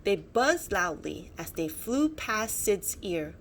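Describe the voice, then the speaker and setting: A woman narrating a children's bedtime story as a storybook reader, in an animated style. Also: mid-range voice, slow pace, a little hiss or hum.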